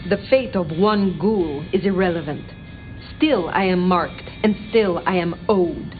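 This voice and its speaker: A woman's voice: fairly low pitch, a little slow, narrow, tinny audio, natural voice. A woman playing a proud, worldly ancient vampire in video game dialogue, composed, formal and aloof. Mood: cool authority. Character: proud, worldly, imperious ancient female vampire, cool and commanding. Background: clear background music.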